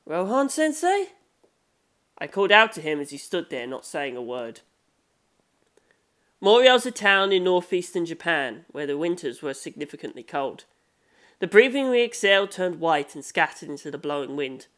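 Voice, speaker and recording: A narrator reading a novel aloud in a measured, scripted storytelling manner. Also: medium pitch, lively intonation, fairly bright tone.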